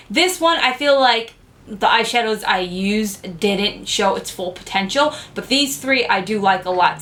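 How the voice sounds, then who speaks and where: A woman's voice: medium pitch, fairly bright tone. A young woman chatting frankly to camera as she reviews cheap makeup, with lots of "like" fillers. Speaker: beauty vlogger.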